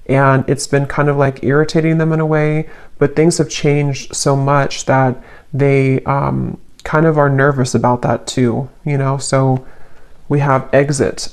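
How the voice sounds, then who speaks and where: Woman tarot reader with very even pitch. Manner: halting, musing, hedging delivery. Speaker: tarot reader, channel host. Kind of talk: casual intuitive tarot reading.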